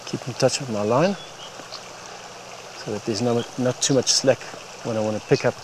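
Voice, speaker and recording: Unhurried man over stream noise. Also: medium pitch. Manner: unhurried, halting phrases with pauses. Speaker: fly fishing guide. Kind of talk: instructional commentary while fishing. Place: outdoors on a wooded stream bank. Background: running water, outdoor ambience.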